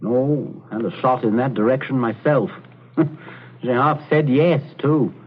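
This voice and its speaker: An actor playing a bluff, chatty middle-aged gentleman captain with hearty, clipped manners, in a 1940s radio drama style. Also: medium pitch, measured pace, husky. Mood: breezy, jovial. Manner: hearty, clipped, old-fashioned gentlemanly phrasing. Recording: muffled, noisy 1940s radio recording.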